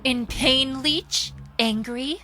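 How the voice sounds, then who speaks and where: A woman acting a mocking, commanding human vampire hunter in an audio drama, cold and unhurried. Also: high voice, bright, forceful tone, exaggerated voice.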